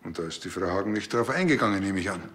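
An actor playing a wry, understated police officer in a TV crime comedy. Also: male voice, low-pitched, expressive, very fast, a bit bright. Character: laid-back, wry male police officer.

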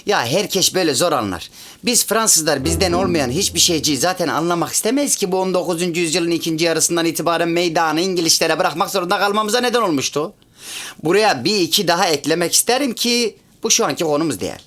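A man's voice: a little high, quick pace, a bit bright. An actor in a comic radio play voicing a chatty, sentimental half-French man with a rural Central Anatolian Turkish accent and a pedantic streak. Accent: rural Central Anatolian Turkish, Çorum area. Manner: folksy, rambling, pedantic about grammar. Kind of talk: comic radio theatre dialogue.

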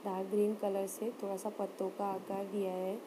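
A woman gives an unscripted step-by-step craft demonstration, hesitant and pausing often. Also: medium pitch, completely flat delivery, noisy background. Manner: halting, hesitant, with frequent pauses. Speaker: craft tutorial host.

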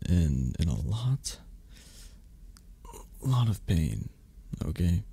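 A man playing a sick, grumbling, needy mafia boss in an ASMR romance roleplay, soft, breathy and raspy, halting and plaintive. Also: low voice, sing-song, dry recording, heavily acted. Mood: miserable, plaintive.

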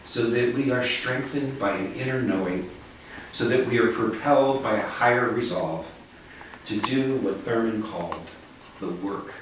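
A minister in his sixties giving a reflective sermon in a measured, solemn, deliberate way. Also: medium pitch. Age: 60s. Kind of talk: reflective sermon, read from notes. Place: living room with small seated group. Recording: echoey living room, distant mic, faint noise.

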